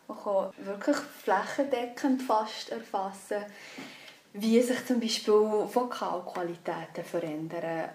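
A woman's voice: mid-range voice, darkish tone. A woman with a Swiss German accent explaining things off the cuff as a researcher in an interview. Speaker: phonetics researcher being interviewed. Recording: echoey room, faint background noise.

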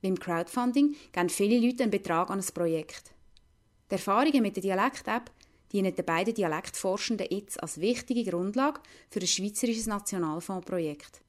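A woman's voice: medium pitch, normal speed. A woman with a Lucerne Swiss German accent, narrating a scripted radio report as a reporter.

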